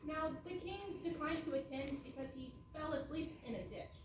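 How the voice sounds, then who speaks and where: A woman's voice: sits high, moderate pace, natural voice. A woman playing a wry, informal narrator of a mock-tragic fairy-tale comedy in live readers theatre. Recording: very echoey room, distant mic, noisy.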